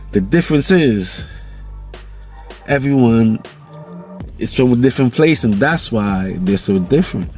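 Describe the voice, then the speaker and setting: Jamaican male vlogger, mid-pitched, easygoing and rambling, faint music bed. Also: darkish tone. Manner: loose, rambling, repeats "you know". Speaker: vlog host. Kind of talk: casual monologue vlog.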